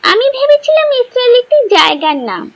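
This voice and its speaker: A woman's voice: extremely high pitch, sing-song, a little fast, gravelly, pitched above the performer's own voice, full character voice. A woman voicing a curious, inquisitive young child listening to stories in a cartoon.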